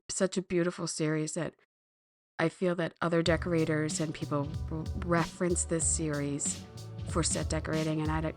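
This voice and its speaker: A woman podcast host with a slightly hoarse voice, rambling in casual chat with a self-deprecating touch. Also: sits low.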